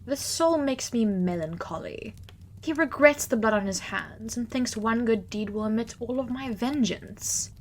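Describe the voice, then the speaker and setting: A woman's voice: fairly high pitch, unhurried, natural voice. A woman voices a stern judge of the dead in an audio drama. She speaks coldly and will not yield, with faint noise behind her. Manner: cold, unyielding. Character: stern female afterlife judge demanding vengeance.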